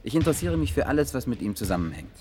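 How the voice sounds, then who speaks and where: A man's voice: medium pitch, very animated, rapid-fire, darkish tone, slight background noise, lightly acted. An actor playing a polite, earnest young man in a German radio play, courteous and inquiring with slight hesitation. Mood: earnest, gentle.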